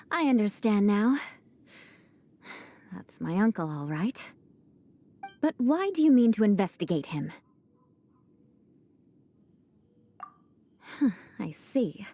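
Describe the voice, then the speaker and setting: Haughty, mellow-voiced young noblewoman. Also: medium pitch, very animated, slow pace, pauses often, full character voice. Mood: cool, composed. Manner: haughty, formal, theatrical declarations. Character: proud, vengeful young noblewoman swordfighter, haughty yet secretly caring. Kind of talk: video game voice acting.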